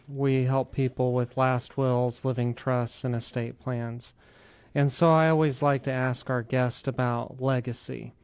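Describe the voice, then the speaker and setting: A man's voice: medium pitch, a little mellow, clear, resonant tone, dry recording. Male radio host, clean resonant tone in a dry studio sound, chatting and interviewing conversationally.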